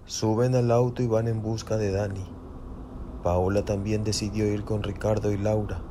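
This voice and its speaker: A man's voice: fairly low pitch, level tone, normal speed, noisy background. A man narrating a scripted suspense audio drama.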